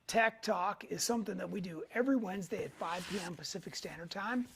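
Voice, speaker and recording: A middle-aged man hosting a weekly live tech show in a casual way, hoarse, clearing his throat often and pausing on fillers. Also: high voice, a little hiss or hum.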